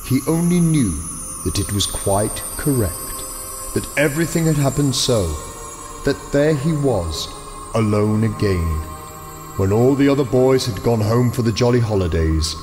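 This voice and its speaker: A man narrating a scripted audio drama as a storyteller, in a measured manner. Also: mid-range voice, lively intonation, a little mellow. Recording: long reverb, music behind.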